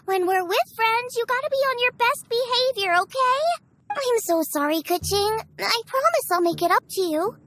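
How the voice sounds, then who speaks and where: A woman's voice: very high voice, expressive, moderate pace, noisy background. A woman voicing a cheerful, earnest, food-loving girl chef in a video game. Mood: earnest, apologetic. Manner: bubbly, earnest.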